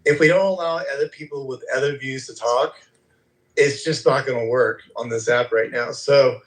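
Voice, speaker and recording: A man's voice: medium pitch, moderate pace, low-quality recording. Rambling man hosting an audio room. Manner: rambling, loose, many fillers. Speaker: room host and moderator. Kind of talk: casual live audio chat.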